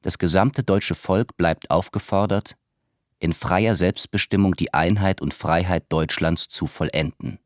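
Deep-voiced solemn male announcer. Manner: solemn, measured. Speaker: announcer and document reader. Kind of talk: formal scripted reading. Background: music.

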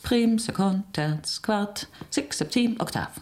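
A woman's voice: medium pitch, lively intonation, slow pace, a little mellow. An actress in a radio drama playing a spirited, sceptical woman tourist who talks in a candid, impulsive, colloquial way. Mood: playful.

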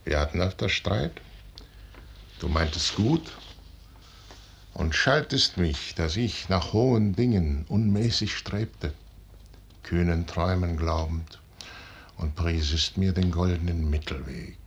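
A proud, aging prince and commander in an old radio play. He speaks low and unhurried, with weary fatalism and grand, dismissive authority. The vintage recording carries steady noise. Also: vocal fry, lo-fi sound, full character voice. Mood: weary, musing. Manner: measured, grand, dismissive, declaiming. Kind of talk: 1950s German radio drama stage acting. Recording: noisy 1950s radio recording.

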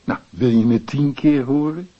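A man's voice: medium pitch, moderate pace, lightly acted. An actor playing a chatty, teasing night porter at an office switchboard in a radio play. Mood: teasing, curious. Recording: muffled radio play recording.

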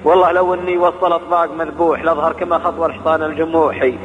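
Male reciter, quick rhythmic verse, somewhat high, thin phone-like sound. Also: little pitch movement, big-room sound. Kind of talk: poetry recitation.

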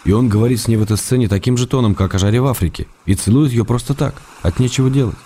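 A man playing an affectionate, gently ironic writer who reads his letters aloud in a radio drama. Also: medium pitch, slightly rough, lightly acted. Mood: ironic, tender. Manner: tender, gently ironic, unhurried. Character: affectionate, teasing male writer writing letters. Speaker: reader of letters in a radio play.

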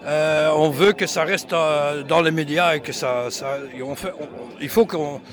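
Halting non-native man at a street protest. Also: a little high. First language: not French. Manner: halting, many restarts and fillers. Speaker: protester interviewed at a demonstration. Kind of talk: hesitant street interview answers. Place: outdoor street demonstration.

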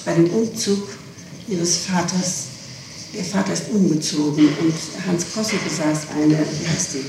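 A middle-aged woman answering questions in a police interrogation, halting and evasive as she searches for words, on an old 1960s tape. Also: on the low side, fairly even intonation. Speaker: witness being questioned. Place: reverberant room, far from microphone. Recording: noisy, echoing 1960s interrogation tape, distant.

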